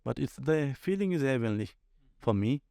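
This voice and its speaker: A man's voice: mid-range voice, expressive, normal speed, creaky, mellow, clean tone, no room sound, clean studio sound. A man with a Nepali accent answering conversationally in an interview, explaining things and often rephrasing as he goes. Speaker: air traffic controller, podcast guest.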